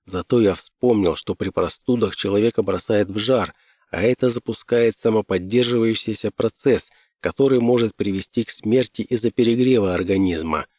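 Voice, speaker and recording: A male audiobook narrator with a fairly low voice reads first-person fiction. The delivery is scripted storytelling, recorded dry with very little room sound. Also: moderate pace, darkish tone, dry recording.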